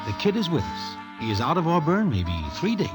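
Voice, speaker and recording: A streetwise crony narrating an old radio drama over clear background music. His pitch is a bit high and moves widely through colloquial, deliberate storytelling. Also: huge pitch swings. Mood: wry, knowing. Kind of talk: 1950s radio narration in present tense.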